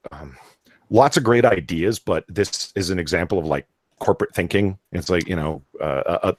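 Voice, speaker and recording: A man's voice: low voice, dry recording. A man co-hosting a live podcast, talking casually and rambling, laughing often. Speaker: podcast co-host. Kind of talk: casual chat.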